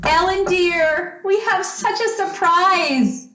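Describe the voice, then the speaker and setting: A woman's voice: extremely high pitch, takes it slowly, pushed and bright, a little hiss or hum, full character voice. A woman playing a doting, emotional mother who gushes and fusses, in old-time radio drama style. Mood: bright, chatty. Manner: gushing, fussing. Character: doting, emotional mother overjoyed at her son's return. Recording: echoey room.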